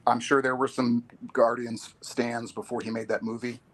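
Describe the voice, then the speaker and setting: A man on a casual podcast chat, speaking in a measured way as he builds an argument. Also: medium pitch, flat delivery. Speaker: podcast co-host or guest.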